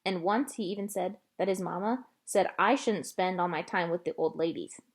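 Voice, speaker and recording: A woman's voice: lowish voice, normal speed. A woman voicing an earnest, frank young girl in a children's storybook. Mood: stubborn, indignant. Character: earnest, plain-spoken young girl, eager and stubborn.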